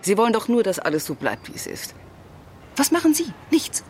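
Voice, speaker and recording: An actress playing a middle-aged senator in a radio drama, brisk, commanding and condescending. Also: female voice, fairly high pitch, wildly swooping pitch, darkish tone, a little hiss or hum. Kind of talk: German radio drama dialogue.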